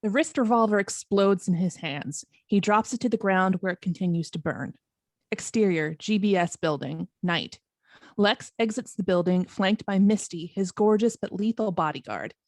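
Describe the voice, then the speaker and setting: A woman with a medium-pitched voice narrates a screenplay. She reads out scene headings and action as scripted narration, recorded dry and close. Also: moderate pace, no echo. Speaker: narrator reading stage directions. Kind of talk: scripted narration at a table read.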